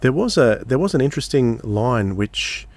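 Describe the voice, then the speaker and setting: A man hosting a casual interview, feeling his way haltingly into his questions. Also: medium pitch, big pitch swings, no echo. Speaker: podcast host, interviewer.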